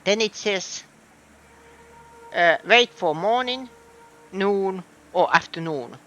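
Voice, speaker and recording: A man with a Finnish accent speaks at an unhurried pace while commenting on a game. His voice is high and bright. He thinks aloud, hesitating and trailing off. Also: lively intonation. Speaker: streamer. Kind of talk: casual gameplay commentary.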